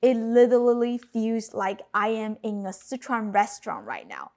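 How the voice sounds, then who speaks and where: A woman in her thirties whose first language is Cantonese, narrating a cooking lesson with clear step-by-step instructions. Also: on the high side, very animated, moderate pace, a little mellow. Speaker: cooking channel host. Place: home kitchen.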